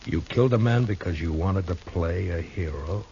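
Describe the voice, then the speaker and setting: On a muffled, slightly noisy vintage radio recording, a tough American lawman of the Old West. His voice is low, and he talks in short, firm, calm lines in 1950s radio western style. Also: moderate pace. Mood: probing, sober.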